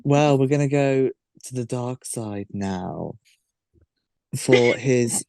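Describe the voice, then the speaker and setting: A man hosting a podcast, interviewing casually with halting, repeated phrasing. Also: medium pitch, creaky, a little mellow, no echo. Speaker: podcast host.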